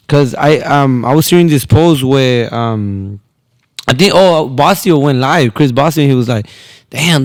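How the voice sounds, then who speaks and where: A man's voice: mid-range voice, a little mellow, dry recording. A man in his twenties hosting a podcast, chatting casually and informally with slangy questions. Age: 20s. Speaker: podcast host. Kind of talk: casual interview chat.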